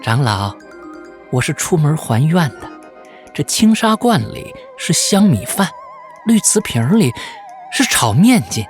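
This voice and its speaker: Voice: medium pitch, huge pitch swings, measured pace, soft background music. A storyteller voicing a sly demon posing as a sweet young woman, polite and coaxing, in an audio picture-book drama.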